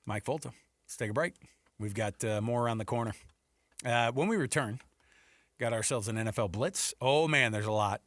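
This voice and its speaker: Male sports radio host, bright forceful voice, super dry studio sound, chatty and casual. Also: medium pitch, normal speed, pauses often, no room sound.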